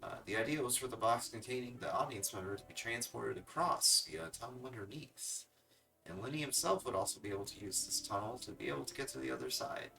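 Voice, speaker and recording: Man streaming a game, gravelly bright voice. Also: medium pitch, quick pace. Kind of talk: casual game commentary and reading on-screen text aloud. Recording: slightly distant mic, game music behind. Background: video game music.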